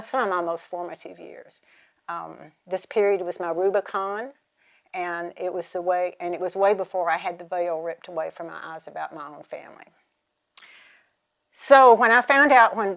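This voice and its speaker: Older American woman with a lowish voice. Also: creaky. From southern United States. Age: 70s or older. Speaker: speaker giving personal testimony. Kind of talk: reflective personal testimony, partly prepared.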